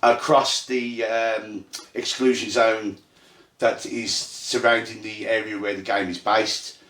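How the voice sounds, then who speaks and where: A man from Ireland with a Birmingham accent, casually hosting a tabletop game night and explaining the rules in a halting, self-deprecating way with plenty of fillers. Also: lowish voice, flat delivery, fairly bright tone. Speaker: host and game runner. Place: indoor room at a gaming table. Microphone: phone. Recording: echoey room on phone mic.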